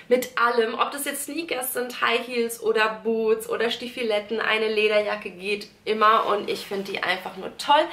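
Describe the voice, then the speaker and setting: A young woman, a touch high in pitch, talks through beauty picks in a chatty, gushing way. Her nose sounds a little stuffed up from a cold. The small room rings with echo, and music plays clearly underneath. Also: bright, forceful tone. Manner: chatty, gushing, addresses viewers as girls. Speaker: beauty vlogger. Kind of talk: casual product-recommendation vlog. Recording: echoey room with music behind.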